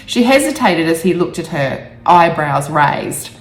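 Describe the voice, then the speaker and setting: Woman, slightly low voice, halting emotional delivery, roomy sound, soft music behind. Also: very animated. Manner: halting, stumbling over words, emotional. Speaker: author reading own novel aloud. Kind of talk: amateur storybook reading with personal asides. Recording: roomy echo with faint music.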